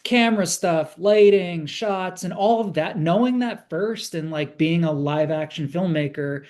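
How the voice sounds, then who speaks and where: A man's voice: sits high, unhurried, darkish tone. A man speaking informally and conversationally as a film producer being interviewed.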